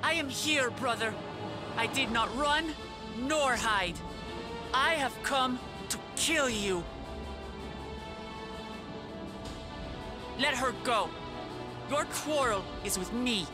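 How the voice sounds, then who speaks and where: Fantasy game princess: brave, earnest, defiant, slightly high voice, measured and slow. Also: female voice, expressive, music in the background. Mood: resolute. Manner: resolute, heartfelt. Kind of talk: dramatic fantasy video game acting.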